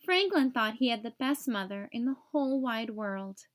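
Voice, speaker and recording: Female storybook reader, high-pitched voice, expressive delivery for a young audience. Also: moderate pace. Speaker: read-aloud host for young children. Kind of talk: children's storybook read-aloud narration.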